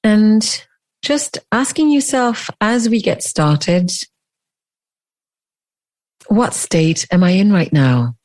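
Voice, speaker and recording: A woman leading a guided meditation as a teacher, speaking in deliberate phrases with long pauses. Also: medium pitch, a little mellow.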